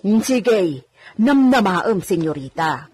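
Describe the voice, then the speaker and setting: A woman's voice: mid-range voice, sing-song, slow pace, darkish tone, husky, exaggerated voice. A woman playing an obliging older village woman with an Ilocano accent in a radio drama, deferring to her mistress.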